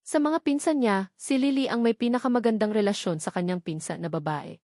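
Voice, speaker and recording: A woman's voice: fairly high pitch, a little fast, warm tone, no room sound, clean studio sound. A woman reading a scripted novel aloud as an audiobook narrator.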